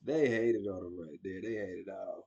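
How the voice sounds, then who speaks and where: A man's voice: sits low, normal speed, gravelly, darkish tone, muffled recording. A man reacting to a video in casual, blunt, slangy commentary, joking as he goes.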